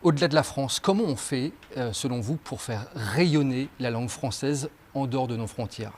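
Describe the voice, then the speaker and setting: A man's voice: mid-range voice, moderate pace, darkish tone, slight background noise. A man asking a minister questions in a courteous, formal TV interview, with some hesitations.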